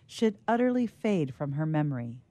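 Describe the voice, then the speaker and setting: A woman narrates a literary story aloud. Her voice is notably low for a woman, with a warm, velvety darkness. She delivers it in a measured, theatrical way. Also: sing-song, no echo.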